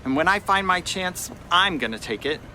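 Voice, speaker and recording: A man's voice: high voice, lively intonation, normal speed, pushed and bright, slight background noise, heavily acted, poor audio. A man playing a cynical, ambitious young runaway slave, brash and dismissive, in a family audio drama. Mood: scheming, determined.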